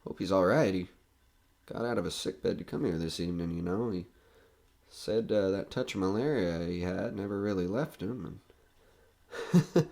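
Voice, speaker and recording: A man playing a nervous radio reporter who is coming unravelled in a horror audio drama, talking in a halting, rambling way. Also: deep voice, vocal fry, a little mellow, full character voice. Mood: uneasy chatter.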